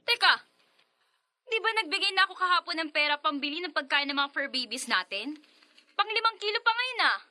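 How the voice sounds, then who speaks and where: A woman playing a brisk, bossy young adult elder sister in a Filipino radio drama. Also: very high-pitched, expressive, sharp tone, exaggerated voice. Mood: surprised. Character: assertive young adult elder sister, breadwinner fond of cats.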